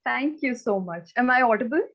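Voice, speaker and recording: Female contestant, twenties, Indian accent, high voice, comic storytelling, muffled lo-fi recording. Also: expressive, a little fast. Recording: dull lo-fi audio.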